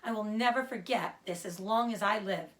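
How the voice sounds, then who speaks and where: A woman's voice: mid-range voice, normal speed, fairly bright tone, lightly acted. Woman acting a pleading, anxious young storybook pig. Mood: earnest, careful. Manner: urgent, pleading, emotional.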